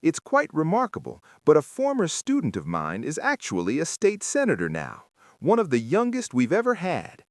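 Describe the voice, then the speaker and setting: A self-satisfied female schoolteacher in a children's audiobook, acted out by a man. The delivery is boastful and smug, with highly varied pitch and a dry, close sound. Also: huge pitch swings, normal speed, no room sound. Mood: smug, proud. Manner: smug, bragging. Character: boastful, self-satisfied middle-aged female social studies teacher.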